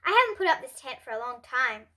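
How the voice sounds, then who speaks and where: A woman's voice: high-pitched, very animated, fast, bright, forceful tone, full character voice. A young girl voicing a reassuring, resourceful dad in a children's storybook. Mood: encouraging.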